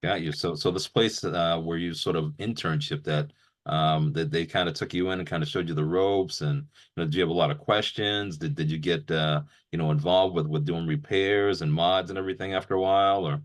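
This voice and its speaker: A man's voice: low voice, fairly even intonation, fairly quick. A middle-aged man hosting a casual interview, with an informal, rambling way of talking. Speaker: host, interviewer.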